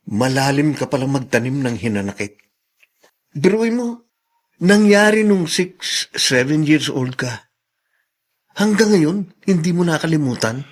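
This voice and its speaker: An earnest man in a radio drama who reminds a longtime friend of his public duty, played by an actor. His voice sits a little high. He speaks in a measured, admonishing way. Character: principled male colleague, reproachful longtime friend.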